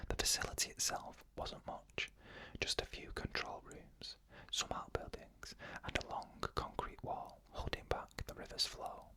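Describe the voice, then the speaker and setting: An ASMR storyteller reading first-person tales in a fully unvoiced, hushed whisper, slow and even.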